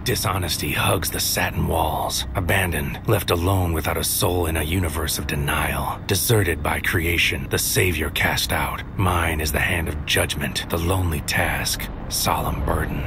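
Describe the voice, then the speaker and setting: A man's voice: extremely low pitch, creaky. An actor playing a tormented, brooding man with godlike power, giving a solemn, intense poetic monologue in a sci-fi audio drama. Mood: desolate, solemn. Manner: solemn, intense, incantatory, often dropping to whispers. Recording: loud music under the voice. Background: loud music bed.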